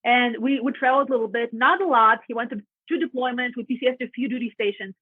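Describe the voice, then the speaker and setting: A woman's voice: a little high, fast, husky, no echo. A woman in her thirties from Latvia with a Russian accent, telling her story in a chatty, earnest way as a podcast guest. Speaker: realtor guest. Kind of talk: casual podcast interview. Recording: tinny phone-like line, dry.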